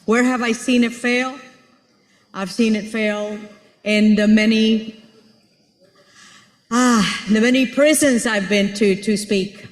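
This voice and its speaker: A woman from Rico, speaking earnestly to an audience and searching for her words as she answers a question. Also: mid-range voice. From Puerto Rico. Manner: earnest, searching for words, builds ideas with everyday examples. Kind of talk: unscripted conference talk. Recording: echoey hall with long reverb.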